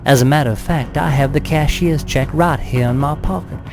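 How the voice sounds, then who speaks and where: A man's voice: a little high, a little fast, music in the background, lightly acted. Man playing a bitter, defiant blackmail victim. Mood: bitter anger. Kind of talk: audio drama acting.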